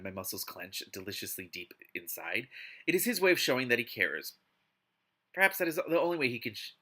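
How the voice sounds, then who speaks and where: A man hosting a live read-aloud of a book, mixing narration with casual, exasperated and expressive commentary. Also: medium pitch. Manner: exasperated, expressive asides while reading.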